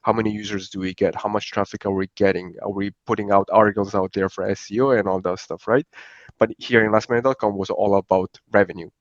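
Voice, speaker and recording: A man from Italy, a podcast guest, talking in a relaxed, rambling way with many fillers. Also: lowish voice, quick pace, no room sound. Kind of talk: conversational podcast interview. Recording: dry, dull lo-fi sound.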